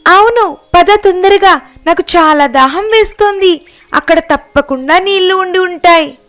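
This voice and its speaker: An impatient little storybook frog, acted by a woman speaks very high. The creature is thirsty, eager and insistent. Light music plays underneath. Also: moderate pace, fairly bright tone.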